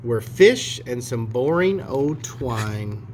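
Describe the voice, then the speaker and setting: A man reading a picture story aloud to children in an expressive storytelling style. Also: medium pitch, takes it slowly, slight background noise. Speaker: storybook reader.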